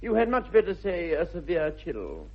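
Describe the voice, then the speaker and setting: Idle, witty upper-class English gentleman, arch and very animated, muffled vintage radio comedy sound. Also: a little high, moderate pace, husky, full character voice. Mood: teasing. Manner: arch, drawling, theatrical. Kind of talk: 1960s BBC radio comedy acting.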